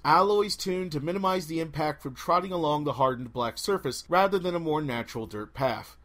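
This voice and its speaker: A man reads an adventure story aloud as narrator. His voice is slightly high and very animated in pitch, with a theatrical, wry delivery. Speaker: audiobook narrator. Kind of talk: animated scripted fiction narration.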